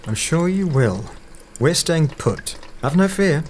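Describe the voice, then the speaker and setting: A slightly high-voiced male investigator of strange phenomena acted by a man in an audio drama. He is terse and analytical, speaking in deliberate, matter-of-fact phrases. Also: expressive, slight background noise. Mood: cool, controlled. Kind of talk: fan-made audio drama acting.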